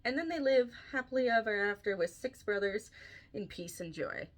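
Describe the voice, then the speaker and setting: A woman's voice: medium pitch, lively intonation, normal speed. A woman hosting a podcast casually retells a fairy tale in an informal way, adding wry asides as she goes. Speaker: podcast host and author.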